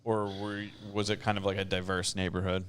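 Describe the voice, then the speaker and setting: A man's voice: low voice, level tone, fairly quick, clean tone. A young adult man hosting a casual podcast chat, asking curious, joking questions. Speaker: podcast host.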